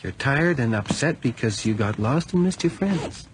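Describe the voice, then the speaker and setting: An actor playing a lonely, eerily attentive man in a radio drama, gentle and soothing but possessive and insistent. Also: medium pitch, expressive, moderate pace, lightly acted. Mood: gentle, devoted. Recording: noisy, clicky old radio drama recording.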